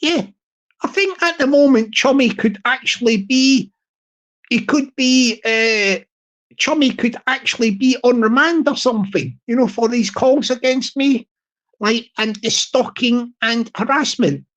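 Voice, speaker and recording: A man with a high-pitched tenor voice, talking casually on his livestream and repeating his phrases as he rambles. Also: a little slow, fairly bright tone. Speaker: YouTuber livestream host.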